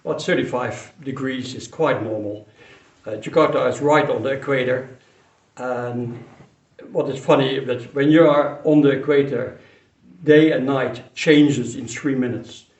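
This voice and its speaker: An older man who grew up in Indonesia, fondly telling a childhood memory in an unhurried way, with pauses and restarts. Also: medium pitch, steady tone. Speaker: storyteller. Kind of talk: personal memory told to camera. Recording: roomy echo, mic some distance away.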